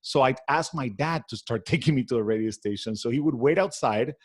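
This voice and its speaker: A man from Venezuela, a guest in an interview, telling his story in an animated way with many fillers. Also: mid-range voice, brisk. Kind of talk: conversational interview.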